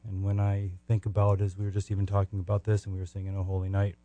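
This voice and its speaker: A man from the United States speaking as a podcast guest in earnest, devout testimony and prayer, with halting phrasing and repeated words. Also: sits low, completely flat delivery, dark tone, dry recording, dull audio.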